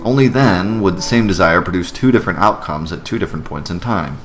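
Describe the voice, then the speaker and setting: Man voicing a weary, wry low-voiced professional. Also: a little fast, close to an everyday voice. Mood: pensive, puzzled. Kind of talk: video game character voicing. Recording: music behind the voice.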